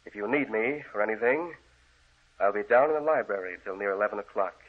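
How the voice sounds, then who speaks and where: An actor playing a solemn, commanding gentleman of the house in a vintage radio horror drama, with a husky voice. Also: lowish voice, fast. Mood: controlled, reassuring. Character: grave, controlling older brother, master of the house. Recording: tinny old radio recording.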